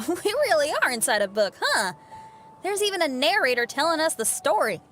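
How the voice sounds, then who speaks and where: A woman's voice: sits high, very animated, moderate pace, background noise. A woman voicing a playful, teasing young female companion in a video game. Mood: amused. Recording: music behind the voice.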